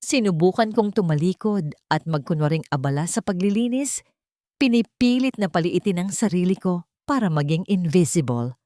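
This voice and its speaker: A woman narrating a scripted first-person drama in a measured, emotive way. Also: fairly low pitch, animated, mellow, no room sound, clean studio sound. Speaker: narrator of audio love story.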